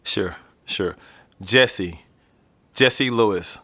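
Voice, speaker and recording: A man's voice: on the low side, extremely slow, pushed and bright, heavily acted. A young man with an American accent playing a casual, slangy, streetwise teenage boy being interviewed in a naturalistic audio drama. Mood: eager, casual. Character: nonchalant streetwise teenage boy living in an apartment building.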